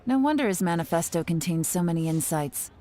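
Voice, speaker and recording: A woman voicing a composed female intelligence agent in a video game, speaking in a calm, measured way. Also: on the low side, a little hiss or hum, close to an everyday voice. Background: game music.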